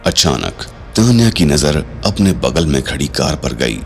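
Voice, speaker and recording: A man's voice: very deep voice, big pitch swings, unhurried, creaky, exaggerated voice. A man narrating a scripted audio drama in a suspenseful storytelling style, with dramatic delivery. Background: music.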